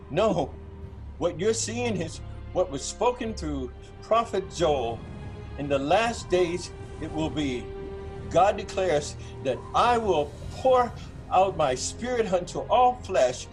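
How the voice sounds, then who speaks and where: A man playing a fervent apostle in a church scripture drama, preaching to a crowd in an emphatic, declamatory way with deliberate pauses. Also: sits high, full character voice. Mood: insistent. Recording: music playing behind the voice.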